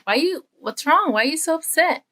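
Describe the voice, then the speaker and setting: A woman's voice: high voice, animated, brisk, bright, forceful tone, pitched above the performer's own voice. A woman plays the mother of a young boy in a children's story. She is concerned and caring, with a motherly, questioning way of talking. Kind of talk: children's story character acting.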